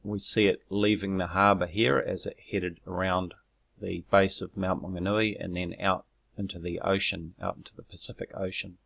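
A man's voice: deep voice, little pitch movement, vocal fry, fairly bright tone. A man narrating over his own footage, explaining step by step with frequent ums and restarts, turning argumentative when rebutting critics. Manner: measured and explanatory, with frequent ums and self-corrections. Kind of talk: narrated screen walkthrough.

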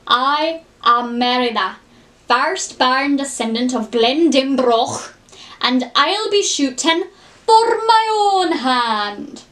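A high-pitched young Scottish princess, played by a woman. She is a tomboy archer from a cartoon, blunt and unbothered, with a Scottish accent. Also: animated, slow, a bit bright, exaggerated voice. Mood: blunt, casual. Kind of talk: cartoon character impression.

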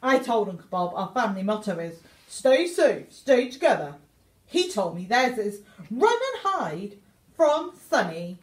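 Very animated Englishwoman reading to children. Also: mid-range voice, unhurried. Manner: exaggerated, theatrical delivery. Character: young male meerkat writing eager letters home. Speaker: teacher reading a picture book aloud. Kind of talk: expressive children's story reading. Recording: echoey room.

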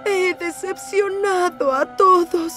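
Una actriz de acento latinoamericano interpreta a una locomotora diésel vanidosa y afectada, con habla dramática de caricatura infantil. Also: female voice, extremely high pitch, fairly even intonation, slow pace, over music. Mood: apologetic. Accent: Latin American. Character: vain, affected female diesel railcar. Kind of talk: animated children's cartoon acting.

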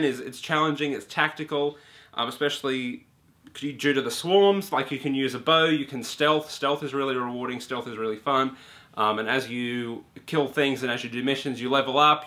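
A young man talks straight to the camera in a small room, loose and animated, with plenty of ums and the odd swear. His voice is bright and forceful, pitched in a middle range for a man. He sounds like someone casually reviewing a game. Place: small indoor room with a brick wall and shelves.

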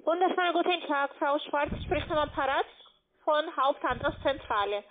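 High-pitched female telemarketer on a muffled line. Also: moderate pace, a little hiss or hum. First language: not German. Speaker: cold caller selling trading platforms. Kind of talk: scripted sales pitch by phone.

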